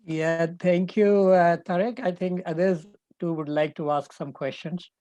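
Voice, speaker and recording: A man's voice: high-pitched, fairly even intonation, unhurried, mellow, dry recording. A man hosting an informal online discussion over lo-fi call audio, handing over to others for questions. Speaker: session host.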